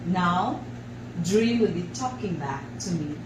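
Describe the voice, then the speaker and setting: A Filipino woman leads a reflective lesson as a facilitator, instructing in short, unhurried phrases. She sounds a bit far from the microphone in an echoey space, with a noticeable hum behind her. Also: on the low side, lively intonation. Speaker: workshop facilitator on a school radio program. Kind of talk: guided reflective art lesson. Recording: echoey, distant mic, clear background hum.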